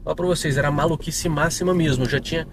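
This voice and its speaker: A man's voice: on the high side, steady tone, fast talker. A young man with a São Paulo interior accent, telling his conversion testimony in a rambling, casual way, recorded outdoors on a city street. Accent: São Paulo interior Brazilian Portuguese. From interior of São Paulo state, Brazil. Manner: rambling, many fillers and restarts. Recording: outdoor street with passing traffic.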